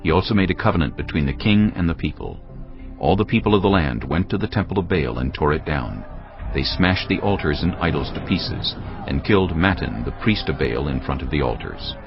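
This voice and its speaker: A man narrating scripture in a steady, measured reading for a dramatized audio Bible. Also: rumbling low, lo-fi sound, over music.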